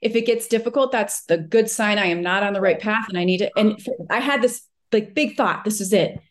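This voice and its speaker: A woman from Minnesota shares a life lesson with earnest, emphatic conviction, like a seasoned motivational speaker. Her voice sits at an ordinary middle pitch. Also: brisk. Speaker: guest, mindset coach and speaker. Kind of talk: reflective storytelling.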